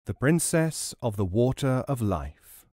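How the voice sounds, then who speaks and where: A man reading traditional tales as an audiobook narrator, in a measured, expressive storytelling manner. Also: medium pitch, no room sound, clean studio sound.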